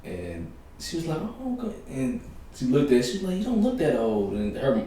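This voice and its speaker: A man, hosting a podcast in a relaxed, storytelling manner. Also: mid-range voice, moderate pace. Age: 30s. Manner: relaxed, storytelling with quoted voices. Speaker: podcast host. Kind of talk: casual chat. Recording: roomy echo, distant mic, faint hiss.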